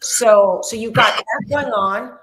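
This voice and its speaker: A middle-aged woman from Venezuela, a podcast guest explaining things in an earnest, measured way. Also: on the high side, a bit bright. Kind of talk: podcast interview. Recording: echoey room.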